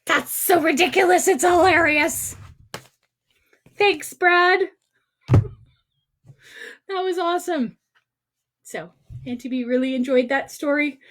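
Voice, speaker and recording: A woman's voice: sits high, slow, long pauses, a bit bright. A woman storyteller reading a picture book aloud to children in a playful, exclaiming manner, with dramatic emphasis and asides to young viewers. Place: recorded on a livestream.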